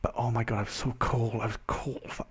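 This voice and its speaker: A young man with a mild Welsh accent, chatting casually as a vlogger in a rambling, animated, self-interrupting way. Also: mid-range voice, fairly quick, slightly rough. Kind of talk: casual chat to camera.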